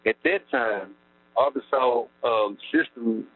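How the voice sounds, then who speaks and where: A man's voice: mid-range voice, takes it slowly. A middle-aged man telling his story on a phone call from prison, rambling with lots of fillers. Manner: rambling, lots of fillers, laughs wryly at times. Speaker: incarcerated interviewee. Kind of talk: casual storytelling about his past. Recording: tinny prison phone line with hum.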